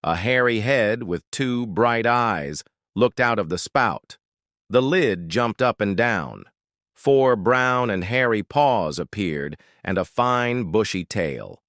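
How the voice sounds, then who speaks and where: A man narrating a folktale as a storyteller, expressive and dramatic, with deliberate emphasis. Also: on the low side, no room sound, clean studio sound.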